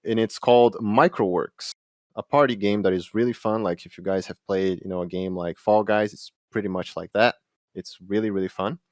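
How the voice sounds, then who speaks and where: A man's voice: fairly low pitch, steady tone, normal speed, a little mellow, no echo, clean studio sound. A man hosting a podcast, a game translator whose first language is Brazilian Portuguese, chatting in a friendly, enthusiastic way. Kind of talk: casual podcast interview.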